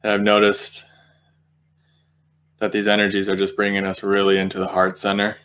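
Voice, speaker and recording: A man with a low voice guides a meditation in a very flat, even tone. He speaks gently and unhurriedly, leaving long pauses between phrases as he finds his words. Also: clear, resonant tone. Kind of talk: guided meditation, unscripted spiritual talk.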